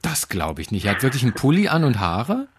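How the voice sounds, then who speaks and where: Guy hosting radio, middle pitched voice, light playful interview chat. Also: wildly swooping pitch, fast talker, slightly rough. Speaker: radio show host.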